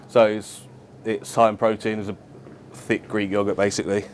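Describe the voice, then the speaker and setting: A man's voice: lowish voice, level tone, moderate pace, slight background noise. A man, a chef explaining his work casually and matter-of-factly to camera. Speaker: head chef at a football club. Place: indoors, club kitchen and dressing room.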